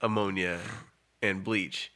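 A young man chats in loose, laughing banter, his nose a little stuffed up. His voice sits low but sounds bright. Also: steady tone, slow. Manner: laid-back, laughing, easygoing banter. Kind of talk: casual chat.

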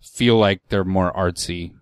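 A man's voice: deep voice, fairly even intonation, unhurried, smooth, no echo, studio quality. A man chatting casually about music, joking, swearing and mocking singers. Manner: jokey, swears, mocks singers.

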